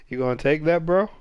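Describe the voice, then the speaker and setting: A man's voice: fairly high pitch, smooth, dry recording, lo-fi sound. A man with a cold and a stuffed-up voice, hosting a casual gaming stream and rambling to viewers. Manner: rambling, chatty, apologetic. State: congested with a cold. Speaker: stream host. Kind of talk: casual gaming podcast chat.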